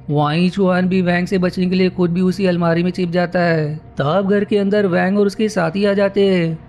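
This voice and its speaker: A man's voice: high voice, darkish tone, a little hiss or hum. A man narrating a film story from a script, recapping it scene by scene as a storyteller.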